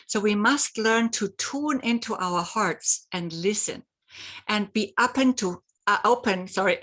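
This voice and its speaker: A middle-aged woman whose first language is German talks slowly and earnestly, with a mid-range voice. She often doubles back on her words as she explains ideas about intuition. She then guides listeners into a simple exercise. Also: medium pitch, a bit bright. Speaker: host. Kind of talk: reflective solo talk with guided exercise.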